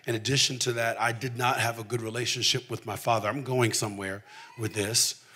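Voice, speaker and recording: A man's voice: mid-range voice, fairly even intonation, fairly quick, bright, forceful tone, slightly rough. A man from the southern United States preaching in a conversational way, telling personal stories candidly and repeating phrases for emphasis. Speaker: preacher giving testimony. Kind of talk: conversational sermon with personal storytelling.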